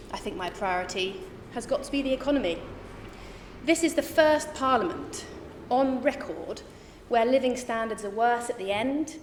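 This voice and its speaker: A woman's voice: fairly high pitch, slight background noise. A woman from England standing as an election candidate, giving an upbeat campaign speech with frequent ums. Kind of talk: campaign speech at a public hustings. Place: church hall. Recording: echoey church hall, mic at a distance.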